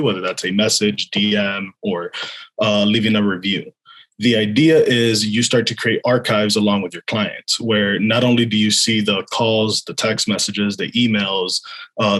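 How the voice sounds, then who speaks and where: A man giving an explanatory software demonstration in an informal, unscripted way. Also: on the low side, low-quality recording. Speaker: software developer giving a product demo.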